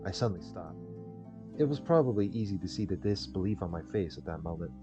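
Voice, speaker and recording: A man's voice: low-pitched, animated, fast. A man hosting a gaming video, reading visual novel text aloud and adding casual, rambling commentary. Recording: dull lo-fi mic under loud music. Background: game music.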